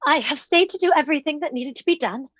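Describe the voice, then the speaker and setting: A woman's voice: high-pitched, fast talker, a bit bright, no room sound. A woman playing a dainty, dutiful, distressed young woman in an old-time radio drama, pleading earnestly and melodramatically, on a slightly muffled recording. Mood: resolute, hurt.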